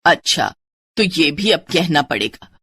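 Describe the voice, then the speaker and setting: A woman voicing a bossy, taunting middle-aged mother-in-law in a moral-story cartoon, with a sharp, nagging manner. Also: sits low, big pitch swings, measured pace, husky, dry recording, exaggerated voice. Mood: scolding.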